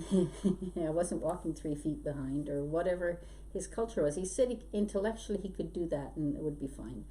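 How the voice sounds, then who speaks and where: A woman's voice: sits low, normal speed, dark tone. A middle-aged woman, born in Belgium and raised in Canada, chatting as a podcast guest and telling stories about her life. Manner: chatty and storytelling, laughs often. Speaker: hypnosis trainer, podcast guest. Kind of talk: casual interview chat. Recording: faint background noise.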